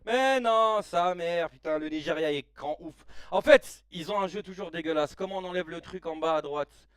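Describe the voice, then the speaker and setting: A man in his late thirties hosting a casual live stream, reacting excitedly to a football match in slangy chat and shouting at the players. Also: a little high, normal speed, clean tone, no echo, studio quality, far from the mic. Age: 30s. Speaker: live-stream watch-along host.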